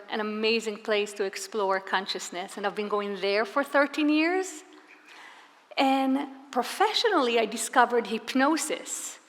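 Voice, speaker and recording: A woman with a somewhat high voice addresses a live audience as a presenter. She tells her story earnestly and asks questions of the room. Her voice carries a long reverb from a large hall. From Israel. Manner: earnest, warm-spoken storyteller with rhetorical questions.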